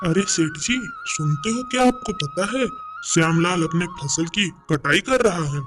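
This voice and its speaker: A man voicing a rich landlord's fawning sidekick in an animated moral story, flattering and eager to please. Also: high voice, measured pace, music in the background, heavily acted.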